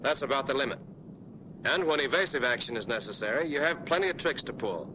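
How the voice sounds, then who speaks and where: An American man narrating a 1940s military training film as an instructor, speaking with clear authority straight to the listener. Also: mid-range voice, animated, quick pace, noisy background. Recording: dull, band-limited 1940s film soundtrack.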